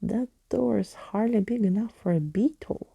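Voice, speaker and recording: A woman's voice: medium pitch, moderate pace, gravelly, dark tone, breathy edge. A woman voicing a grumpy, sceptical, sarcastic girl in a whispered storybook reading. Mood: grumbling, scornful. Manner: complaining, sarcastic. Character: Daisy, grumpy sceptical sharp-tongued girl.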